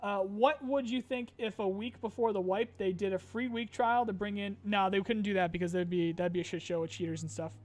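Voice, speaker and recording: A man's voice: high voice, fairly even intonation, brisk, hardly any pauses. A man streaming a casual chat, reading viewer questions aloud and answering loosely with fillers, a little tired and his voice getting strained.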